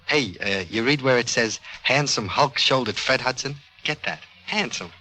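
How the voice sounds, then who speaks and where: An American actor playing a moody, insecure young college basketball player in a mid-century radio drama, earnest and defensive. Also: male voice, mid-range voice, animated, normal speed, pushed and bright. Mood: brooding.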